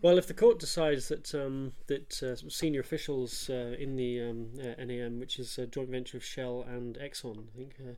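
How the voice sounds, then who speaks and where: A man's voice: mid-range voice, steady tone, warm tone. A man hosting a news podcast, reading headlines and explaining stories with many fillers and restarts. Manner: quick, halting with many fillers. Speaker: podcast host.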